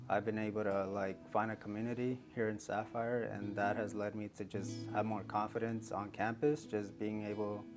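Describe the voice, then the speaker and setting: A man in his twenties from Mexico, a student answering interview questions in a relaxed way with many fillers. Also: fairly low pitch. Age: 20s. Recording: guitar music playing underneath.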